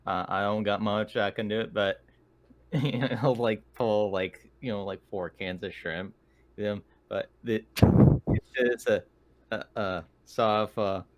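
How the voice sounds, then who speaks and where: Man, tabletop player, slightly low pitch, informal folksy phrasing, casual chat. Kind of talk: casual in-character game chat.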